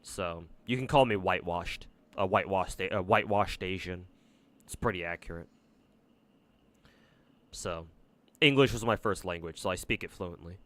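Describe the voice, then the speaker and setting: Offhand American man streaming, low voice. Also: moderate pace, long pauses, a bit bright. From United States. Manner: rambling and offhand, with frequent swearing and restarts. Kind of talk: casual livestream chat while gaming.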